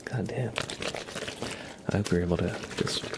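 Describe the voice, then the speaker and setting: A man hosting an ASMR livestream, speaking softly and casually to viewers in a gentle, rambling, hesitant way. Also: a little slow, noisy background. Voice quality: soft, breathy whispering with creaky low undertone. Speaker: livestream host.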